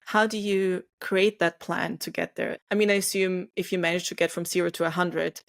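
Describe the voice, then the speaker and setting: A young woman from Austria hosts the podcast, speaking English with German as her first language. Her voice sits a little low for a woman, and she asks her questions in a thoughtful, curious way. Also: level tone, normal speed, gravelly. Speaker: podcast host. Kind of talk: conversational interview.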